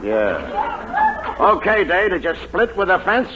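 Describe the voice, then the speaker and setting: An actor playing an exasperated police captain in a 1940s radio comedy, curt and badgering. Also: male voice, high voice, big pitch swings, measured pace, a loud crowd. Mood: exasperated, demanding. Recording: muffled, noisy 1940s radio recording, loud audience.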